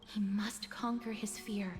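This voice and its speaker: A woman voicing a gentle, deferential attendant in a video game, speaking in a formal, measured manner. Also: mid-range voice, flat delivery, close to an everyday voice. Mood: sombre, respectful. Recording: reverberant game audio, faint noise.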